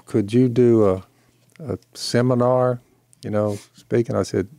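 Older preacher guy, slow matter-of-fact talk, mellow low voice, dry close mic. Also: steady tone, creaky, no echo. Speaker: preacher and podcast host. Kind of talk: casual storytelling. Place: wood-paneled studio room. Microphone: studio mic on boom arm, headphones.

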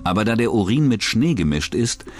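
A man's voice: lowish voice, very animated, brisk. A man reading scripted true-crime documentary narration as the narrator. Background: background music.

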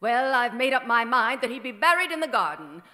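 A woman's voice: a little high, sing-song, brisk, bright, forceful tone. A woman playing a vain, imperious faded silent-film diva in a radio drama, with grand, theatrical delivery. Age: middle-aged. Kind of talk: old-time radio drama acting. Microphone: stand microphone on stage. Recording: reverberant theater stage recording.

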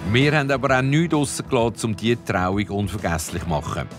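Lively low narrator. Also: male voice, fairly quick, over music. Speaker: show host, narrator. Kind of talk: scripted TV voice-over narration.

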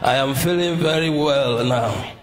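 A man giving a slow, searching testimony into a handheld mic in a church hall. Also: medium pitch. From Ghana. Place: large indoor church hall. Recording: echoey hall with faint music.

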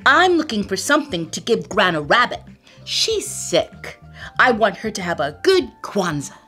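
A woman voicing a small, earnest young boy rabbit in a storybook, talking brightly and eagerly. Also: medium pitch, big pitch swings, a little slow, soft background music, pitched above the performer's own voice.

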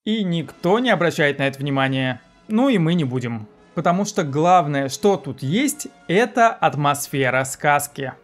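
A man in his thirties narrates a scripted film review in a fairly high voice. He sounds like a video host at his desk, with music playing behind him. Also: lively intonation, moderate pace, hardly any pauses. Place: small indoor room, seated at desk.

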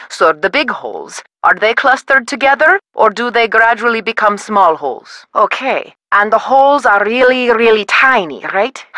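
A woman's voice: a little high, bright, forceful tone, no room sound. A woman voicing a polite, patient wood expert on a phone helpline in a video game, explaining step by step.

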